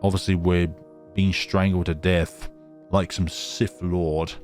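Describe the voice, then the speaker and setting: A man's voice: sits low, flat delivery, takes it slowly, darkish tone, soft background music. A man hosting a gaming video, reading a visual novel aloud dramatically with casual, wry commentary.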